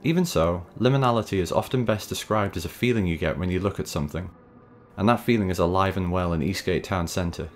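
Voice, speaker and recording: A man hosting a video essay, reading scripted narration in a measured, wry, conversational way. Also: low voice, a little hiss or hum. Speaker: host and narrator of a video series. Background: background music.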